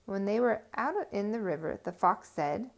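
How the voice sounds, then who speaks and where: A woman storyteller reading a children's tale aloud with expressive, emphatic phrasing. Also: mid-range voice, moderate pace, vocal fry.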